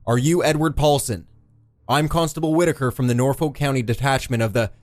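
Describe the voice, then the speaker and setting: A man's voice: mid-range voice, brisk, a little mellow, dry recording, close to an everyday voice. A man voicing a rigid police constable in an audiobook, barking commands in a stiff, mechanical manner. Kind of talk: dramatised audiobook character.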